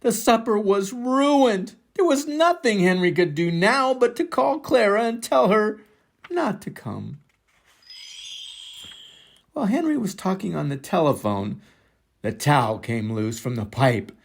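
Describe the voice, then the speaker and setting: An older man reads a picture book aloud for young children. His delivery is slow and deliberate, with very animated rises and falls in pitch. He leans on key words and stretches them out dramatically. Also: gravelly, slight background noise. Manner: dramatic, deliberate, drawn-out emphasis. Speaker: storybook reader for children.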